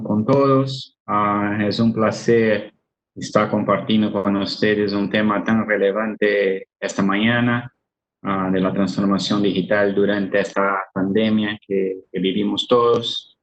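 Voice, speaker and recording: A man's voice: fairly low pitch, level tone, slow pace, muffled recording, a little hiss or hum. A middle-aged man from Brazil with a Brazilian Portuguese accent, giving an online business presentation with many "ah" fillers and repeated words. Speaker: webinar speaker.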